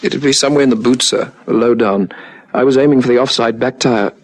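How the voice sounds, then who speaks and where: An actor playing a wounded young police constable in a vintage radio drama, earnest, deferential and a little halting, with a husky voice. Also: male voice, medium pitch, close to an everyday voice. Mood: pained, earnest. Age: young adult.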